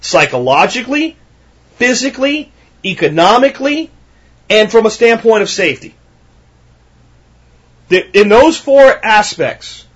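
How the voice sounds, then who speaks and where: Emphatic high-voiced husky man. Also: muffled recording. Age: 30s. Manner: emphatic, ranting, repeats himself. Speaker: podcast host. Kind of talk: solo podcast monologue.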